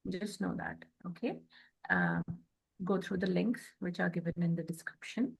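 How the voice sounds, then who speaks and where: A woman co-hosting a spiritual wellness talk, instructing her audience in short, deliberate phrases and often checking for assent. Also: on the low side, steady tone, creaky, husky, rough recording. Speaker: co-host of a spiritual wellness show.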